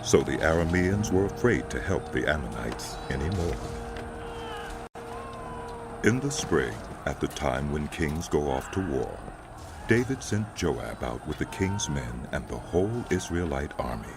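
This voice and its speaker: Deep-voiced, expressive male scripture narrator. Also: unhurried, background noise. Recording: loud music bed behind narration.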